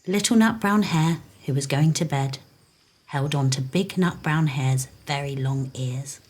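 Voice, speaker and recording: A woman reads a picture book to children as storytime host. Her voice is very deep for a woman, with a breathy, husky softness. She narrates gently and soothingly, keeping things calm. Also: a little slow. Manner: soothing, soft-spoken, low-stimulating.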